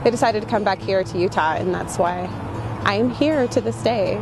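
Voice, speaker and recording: A woman's voice: mid-range voice, normal speed, music in the background, low-quality recording. A woman giving reflective, unscripted interview answers.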